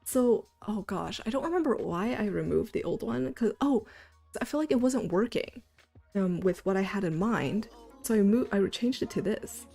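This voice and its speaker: A woman's voice: mid-range voice, animated, normal speed, creaky, mellow, faint music behind. A woman animator chatting casually on a livestream about her sketches, self-deprecating and talkative.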